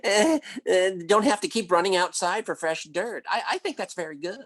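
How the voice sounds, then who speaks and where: Man playing a stammering, blustering husband with swooping pitch. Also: expressive, moderate pace. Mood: boastful. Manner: stammering, flustered, folksy exclamations. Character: blustering, bumbling middle-aged husband, handyman and tall talker. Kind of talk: old-time radio comedy acting.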